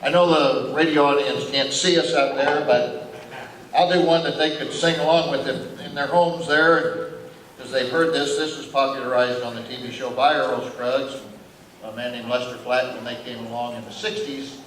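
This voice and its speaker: A man's voice: mid-range voice, steady tone, normal speed, fairly bright tone. A man who plays banjo, talking casually on stage as an interview guest and explaining things informally. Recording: echoey hall, distant noisy microphone.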